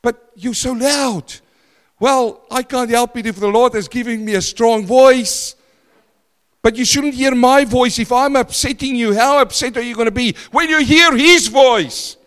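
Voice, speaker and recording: A man preaching a sermon in a church, loud and emphatic, swinging from forceful declarations to hushed, quiet lines. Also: very high-pitched, a little slow. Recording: long hall reverb.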